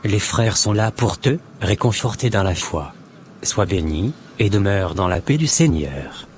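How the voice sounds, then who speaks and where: Low-voiced devout man, acted by a man, measured. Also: lively intonation, a little hiss or hum. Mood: calm, admonishing. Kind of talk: scripted cartoon dialogue.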